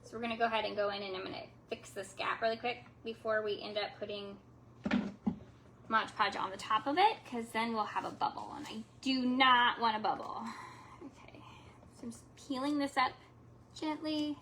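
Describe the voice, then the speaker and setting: A woman's voice: on the high side, fairly even intonation, normal speed, bright, forceful tone, a little hiss or hum. A young woman chatting casually to viewers while she refinishes furniture at home, talking as she works and often trailing off mid-thought. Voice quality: slight lisp. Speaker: craft vlogger. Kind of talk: casual live craft chat.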